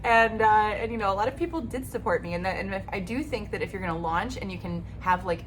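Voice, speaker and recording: A woman's voice: on the low side, noisy background. A woman in her thirties giving upbeat, encouraging advice on a vlog, speaking straight to the viewer and losing her voice a little. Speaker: YouTube creator.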